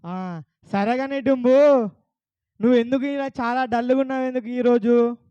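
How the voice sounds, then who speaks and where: A man's voice: very high voice, steady tone, moderate pace, mellow, clear, resonant tone, no echo, highs cut off. A man with a Telangana Telugu accent hosting a puppet show, coaxing a child audience with questions. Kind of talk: lively talk to a child audience.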